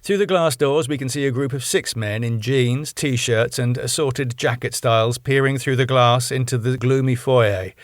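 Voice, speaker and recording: A man reading aloud his own script, calmly narrating scene directions. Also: medium pitch, moderate pace, few pauses, dry recording, studio quality. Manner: plain, even script narration.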